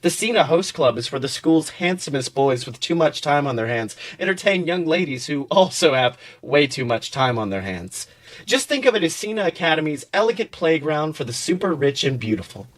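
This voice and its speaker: Male podcast narrator, somewhat high pitch, theatrical second-person storytelling. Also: very animated, moderate pace. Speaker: podcast host reading fan fiction.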